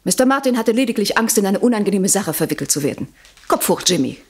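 A woman's voice: mid-range voice, big pitch swings, fast, slightly rough. An actress playing a poised, protective society lady in a German radio crime drama, speaking in a genteel and insistent way. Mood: composed, reassuring. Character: poised society lady protectively coaching her male friend.

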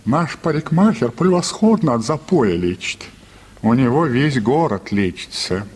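Chubby theatre cashier, husky male voice, lively but practical, radio play style. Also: medium pitch, unhurried. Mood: helpful, matter-of-fact. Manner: matter-of-fact, advising. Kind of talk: Russian radio play acting of a classic story.

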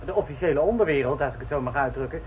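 A man's voice: medium pitch, close to an everyday voice. An actor playing a deferential, hesitant young trainee policeman in a radio play. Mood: hesitant, apologetic. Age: young adult. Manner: hesitant, deferential, quick to apologise. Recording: muffled, noisy old radio play recording.

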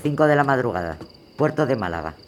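A man narrating scene headings in a radio drama in a measured, announcing voice. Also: mid-range voice, big pitch swings. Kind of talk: scripted narration. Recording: music behind.

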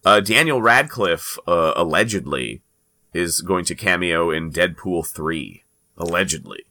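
A man's voice: deep voice, animated, a little slow, sharp tone. A man hosting a casual pop culture news chat, speaking in an emphatic, informal, sometimes profane way. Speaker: podcast host.

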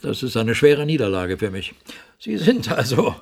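An actor playing a fussy, protective boss in a radio play, with a gravelly voice and theatrical concern. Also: male voice, mid-range voice, sing-song, normal speed. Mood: concerned, reproachful. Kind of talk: German radio play acting.

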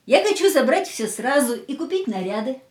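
A woman's voice: sits high, very animated, normal speed, darkish tone, breathy edge, heavily acted. An actress playing a frank, intense young woman hungry for life in a radio play, with a dramatic, searching manner. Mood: teasing, challenging.

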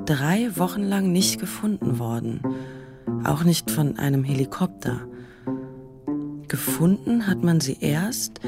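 A woman narrating a literary radio play in a measured, factual manner. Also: on the low side.

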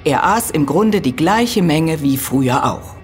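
A woman's voice: deep voice, lively intonation, a little slow, faint music behind. A woman playing a middle-aged professor in an audio drama, lecturing precisely and patiently correcting others with firm emphasis. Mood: matter-of-fact. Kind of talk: German audio drama dialogue.

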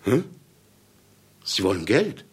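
An actor playing a self-important, irascible celebrated author in a German radio play, with a pompous, theatrical and brusque delivery. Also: male voice, lowish voice, big pitch swings, slow, exaggerated voice. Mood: brusque, dismissive. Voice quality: gravelly.

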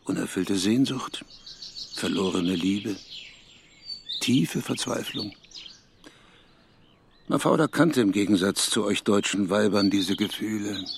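An actor playing a gruff, bitter old man in a radio drama, brusque and given to solemn pathos. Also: fairly low pitch, slow pace, long pauses. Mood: darkly wistful. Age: older. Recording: faint outdoor noise with birdsong.

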